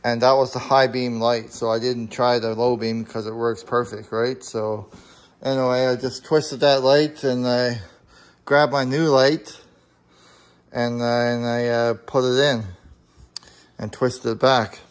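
A man explaining a do-it-yourself repair in a casual, unscripted, halting and plain-spoken way, recorded in a home garage on a handheld camera. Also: medium pitch, steady tone. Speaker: amateur DIY car repair video maker.

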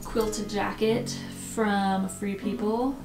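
Creaky-voiced young American woman vlogger. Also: medium pitch, fairly even intonation, takes it slowly. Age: 20s. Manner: rambling, informal, self-interrupting. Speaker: lifestyle vlogger. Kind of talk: casual, chatty vlog talk to camera. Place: rooms in a home, indoors. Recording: echoey bare room, music underneath. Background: background music.

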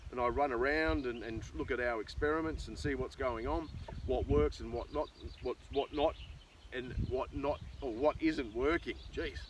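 Casting: middle-aged Australian male farmer, mid-pitched, rambling and informal, recorded at some distance outdoors with light natural noise. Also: moderate pace. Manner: rambling, thinking aloud. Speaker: farmer and video host. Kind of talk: casual unscripted vlog talk. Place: outdoors in an open rural pasture.

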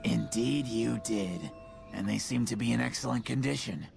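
A man playing a terse, serious rocket technician in a video game, with a gravelly voice and a formal, matter-of-fact manner. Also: mid-range voice, normal speed, over music.

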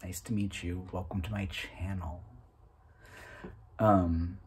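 A man in his thirties hosting his own channel, talking casually and a little self-consciously in a halting, informal way. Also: low-pitched, level tone, husky. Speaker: host of a reading channel. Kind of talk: casual, unscripted chat to camera.

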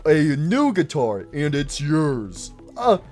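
A man's voice: fairly high pitch, sing-song, takes it slowly, a little mellow, soft background music, pitched below the performer's own voice, exaggerated voice. A man voicing a timid, fretful male bunny plush who stammers nervously, in a puppet comedy skit. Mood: overjoyed.

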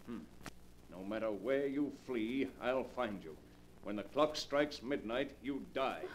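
A man's voice: mid-range voice, lively intonation, a little slow, lightly acted. An American man playing a paranoid, imperious businessman with a violent streak in 1940s radio drama style. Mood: coldly menacing. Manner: imperious, menacing. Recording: noisy old 1940s radio recording.

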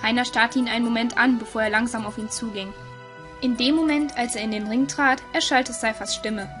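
A woman's voice: fairly high pitch, steady tone, a little fast. A woman narrator reading a scripted audio story. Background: music.

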